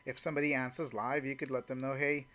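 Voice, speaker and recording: Bright-voiced man giving informal sales training. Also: mid-range voice, little pitch movement, fast talker. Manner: conversational, unscripted, frequent "you know". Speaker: network marketing trainer. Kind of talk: informal training talk with call examples.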